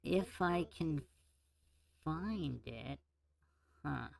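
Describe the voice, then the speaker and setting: A man's voice: fairly high pitch, lively intonation, gravelly. A man hosting a casual livestream Q&A, talking through audio troubles and restarting words. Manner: unhurried, restarting words while fixing audio settings. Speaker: livestream host.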